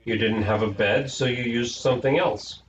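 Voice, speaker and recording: A man's voice: mid-range voice, normal speed, close to an everyday voice. A man reading the part of a patient, slightly weary mother explaining things to children in a storybook. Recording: echoey room, distant mic, noisy, dull.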